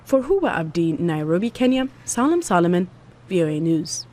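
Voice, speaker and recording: A woman's voice: lowish voice, sing-song, normal speed, darkish tone. A woman narrating a scripted news report.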